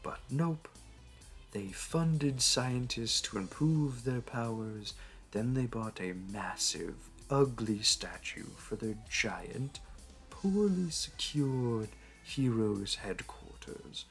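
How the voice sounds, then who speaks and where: Male actor as supervillain, roleplay audio, gravelly fry, slow delivery, suave, flirtatious, smug. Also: medium pitch, expressive, soft background music. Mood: mocking amusement. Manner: suave, teasing, theatrical. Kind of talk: scripted roleplay audio drama.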